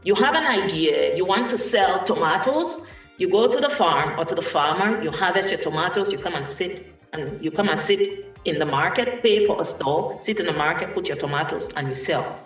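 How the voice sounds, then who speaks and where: A speaker from Cameroon, telling a personal story at a panel event in a thoughtful way with many pauses and restarts. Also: medium pitch, lively intonation, a bit bright. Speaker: guest speaker, musician. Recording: echoey room from far off, faint music.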